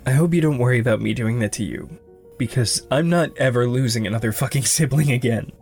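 An actor playing a gentle, guilt-ridden young man, halting and introspective, in a naturalistic audio drama. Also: mid-range voice, creaky, faint music behind, natural voice. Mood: vulnerable. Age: young adult.

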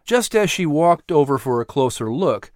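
A man narrating a scripted story as an audiobook, in a measured, expressive storytelling manner. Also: fairly high pitch, no echo, studio quality.

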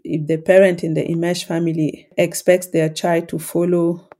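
A woman with a low voice talks calmly and thoughtfully to her podcast listeners. She keeps her tone warm and mellow and her pitch even, with little rise or fall. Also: normal speed. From Cameroon. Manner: calm, reflective, explanatory. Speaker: podcast host and coach. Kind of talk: solo podcast talk.

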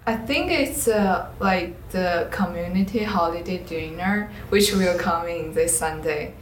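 A woman's voice: fairly low pitch, measured pace. A teenage female student with a Chinese accent giving casual answers as an interview guest. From China. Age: teens. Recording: roomy echo, background noise.

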